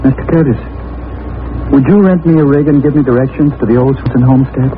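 A man's voice: mid-range voice, fairly even intonation, quick pace, a little hiss or hum, close to an everyday voice. An actor playing an earnest, determined young man, polite but urgent and insistent, in a 1940s radio drama. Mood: intent. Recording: tinny old radio recording with organ music.

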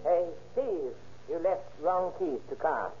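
An actor playing a cautious, self-assured foreign drug dealer in a 1930s radio drama, speaking in clipped, formal phrases. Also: male voice, fairly high pitch, lively intonation, takes it slowly, over music. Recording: muffled old-time radio recording.

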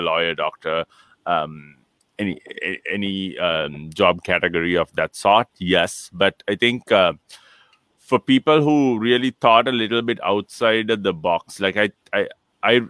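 A man in his late thirties from Sri Lanka, a guest chatting casually on a video call, with a relaxed, rambling manner, restarting and chuckling often. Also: low-pitched, no echo. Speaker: interview guest, entrepreneur. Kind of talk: casual conversational interview.

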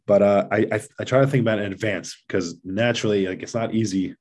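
Deep-voiced man, earnest podcast guest. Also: fairly even intonation, fast talker, darkish tone. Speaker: podcast guest, father of seven. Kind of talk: casual interview conversation.

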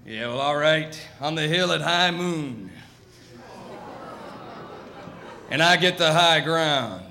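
A man playing a big, hostile young magic duelist in a comic stage show, gruff and belligerent with rustic bad grammar. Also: fairly high pitch, animated, a little slow, pushed and bright, exaggerated voice. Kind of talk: comic audio theatre acting before an audience. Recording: noisy live stage recording.

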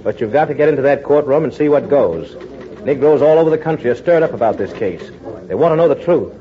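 A man playing a brisk, commanding newspaper editor in a 1940s radio drama. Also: medium pitch, lively intonation, natural voice. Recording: muffled 1940s radio broadcast.

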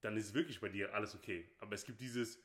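A man's voice: on the low side, quick pace, noisy background. A man from Nigeria, a podcast co-host talking casually and emphatically, often repeating himself. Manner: emphatic, repeats phrases, often says "okay, hey". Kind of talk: casual opinionated chat. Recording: roomy echo.

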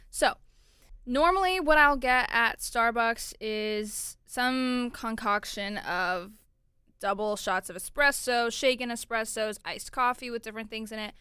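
A twenty-year-old woman from Florida talks chattily as host of her own podcast, with a bright, forceful tone. Her voice is smooth and crisp, and the sound is dry and close. She keeps up an upbeat flow with plenty of "like" and "you know". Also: on the high side, no room sound. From Orlando, Florida, USA. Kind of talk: casual solo podcast chat.

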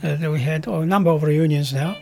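A man's voice: fairly high pitch, fairly quick, gravelly, music in the background. A man in his eighties from Poland with a Polish accent, speaking reflectively and a little wryly, with hesitant restarts. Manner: hesitant with many restarts, wry. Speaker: interviewee. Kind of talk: reflective personal talk.

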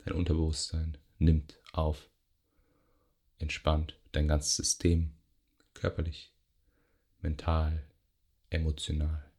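A man guiding a yoga nidra meditation in a gentle, unhurried way, pausing between short phrases. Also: very deep voice, completely flat delivery, breathy edge. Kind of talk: guided meditation, soothing relaxation instruction.

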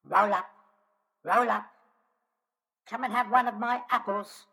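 A man's voice: high voice, steady tone, a little slow, vocal fry, sharp tone, pitched above the performer's own voice, exaggerated voice. A man voicing a boastful apple tree that calls out to passers-by, in a raised, strained voice. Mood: hawking. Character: boastful loud-mouthed apple tree, fruit seller.

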